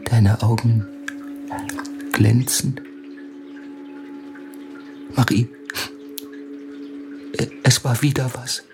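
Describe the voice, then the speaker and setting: An actor playing a haunted, paranoid young soldier in a radio play, speaking in halting, broken phrases. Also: male voice, medium pitch, steady tone, gravelly, background noise. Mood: agitated, distracted. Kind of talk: German-language radio play acting. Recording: music behind the voice. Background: music.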